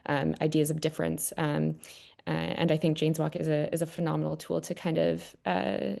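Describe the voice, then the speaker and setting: A woman's voice: deep voice, creaky, warm tone. A young Canadian woman with a Canadian accent, a guest chatting casually on a video call with lots of "kind of" fillers. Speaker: guest panelist. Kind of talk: casual webinar talk.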